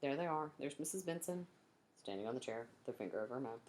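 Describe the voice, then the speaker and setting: Female storybook reader, teacherly, lower register, very animated intonation for a young audience. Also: fast, slight background noise. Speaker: teacher reading aloud to children. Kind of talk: expressive storybook narration.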